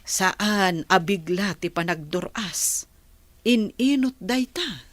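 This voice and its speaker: Woman acting a slow-spoken, patient mother. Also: mid-range voice, animated, no echo. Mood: patient, advising. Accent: Ilocano Filipino. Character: patient, advising village mother. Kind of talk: Ilocano radio drama acting.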